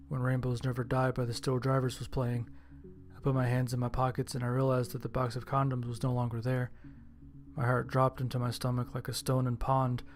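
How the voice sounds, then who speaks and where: Man playing an even-toned survivor narrating his ordeal. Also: mid-range voice, close to an everyday voice. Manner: scripted, descriptive storytelling with casual profanity. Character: Simon, injured male survivor narrating his own ordeal. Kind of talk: first-person scripted horror audio drama narration.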